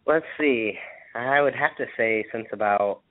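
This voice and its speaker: A man's voice: medium pitch, a little slow. A man chatting casually as a musician guest in an interview. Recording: thin phone line, radio show.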